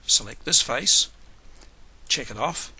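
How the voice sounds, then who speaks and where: A man giving a live software tutorial webinar, hoarse and losing his voice, talking unhurriedly with many fillers and restarts. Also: medium pitch, animated, slow, rough recording. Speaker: software trainer.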